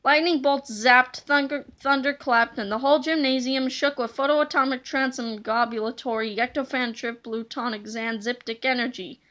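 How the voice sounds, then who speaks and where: A woman narrating a children's book aloud in an expressive, dramatic way. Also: sits high, moderate pace, few pauses.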